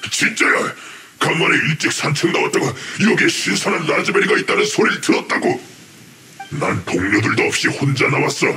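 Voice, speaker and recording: A man's voice: fairly high pitch, sing-song, brisk, noisy background. An actor playing a hapless, flustered henchman in an anime-style game, whiny, defensive and protesting.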